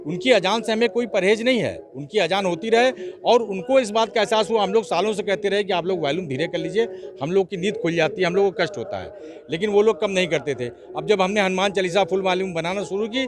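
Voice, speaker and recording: A man's voice: high voice, animated, fairly quick. A man answering an interviewer as a local activist, making his case insistently and repeating phrases for emphasis. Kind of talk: interview answers, argumentative explanation. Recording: clear crowd noise behind.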